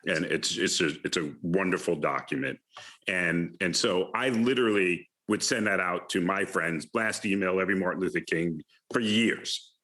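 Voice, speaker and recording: An American man in his fifties speaks in a lowish, forceful voice. He answers as an interview guest, thinking aloud and often pausing to search for the right words. His delivery is conversational and reflective. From the Bronx, New York City, United States. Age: 50s. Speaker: alumni guest, retired finance professional.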